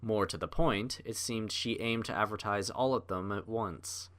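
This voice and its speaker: A man reading scripted fiction as a narrator, in a measured, literary storytelling manner. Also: lowish voice, fairly bright tone.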